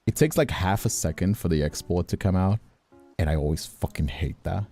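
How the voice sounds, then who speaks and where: A man's voice: low voice, brisk, dark tone, soft background music. A man chatting casually on a livestream as its host, swearing freely in giggly banter while he works. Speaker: streamer, VTuber host.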